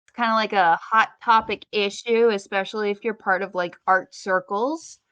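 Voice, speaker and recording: A woman's voice: medium pitch, steady tone, moderate pace, fairly bright tone, clean tone, no echo. A woman co-hosting a podcast, explaining things in an informal, conversational way. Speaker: podcast co-host. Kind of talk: casual conversational podcast chat.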